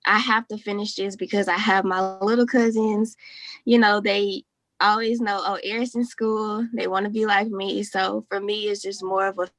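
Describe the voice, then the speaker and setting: A woman's voice: mid-range voice, flat delivery, moderate pace, a bit bright, no echo, poor audio. A woman in her twenties, an interview guest speaking casually and sincerely, with many fillers. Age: 20s. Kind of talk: candid conversation.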